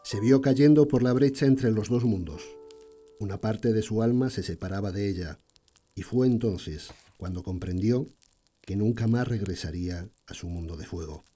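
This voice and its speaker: Guy doing a solemn storybook narrator, real mellow dark voice, measured, faint music behind. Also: fairly low pitch, husky. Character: solemn male storyteller of a princess fairy tale. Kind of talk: scripted fairy-tale narration.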